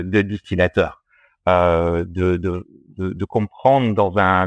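A man with an Australian accent and a low voice tells his story in a casual interview. He hesitates often, filling gaps and restarting phrases. The sound is dry and close, with no room around him. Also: no room sound. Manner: hesitant, many fillers and restarts. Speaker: guest, distiller.

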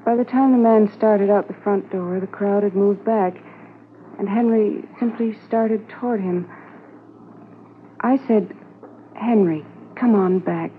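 An actress playing a stunned, quietly grieving policeman's wife, speaking plainly in a 1950s radio drama. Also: mid-range voice, a little slow, long pauses, close to an everyday voice. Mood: numb recollection. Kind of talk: 1950s radio drama acting, first-person narration. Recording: muffled, slightly noisy 1950s radio transcription.

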